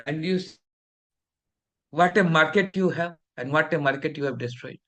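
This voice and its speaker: Indian English-accented man, middle-aged, fairly high voice, preachy teacher scolding his students. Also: moderate pace, pushed and bright. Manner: scolding, preachy, switches between English and Hindi. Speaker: teacher of a fellowship class. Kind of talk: lecture.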